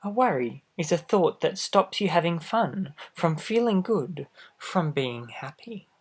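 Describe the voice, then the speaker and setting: A man reads a picture book aloud to young children in a gentle, expressive way, adding little asides straight to the listener. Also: on the high side, a little slow. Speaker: storybook reader for children.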